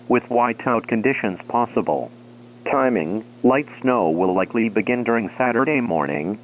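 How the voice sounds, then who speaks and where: A man reading a scripted emergency weather bulletin in a formal, automated-sounding delivery, like a weather radio announcer. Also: medium pitch. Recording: muffled lo-fi weather radio, humming noise.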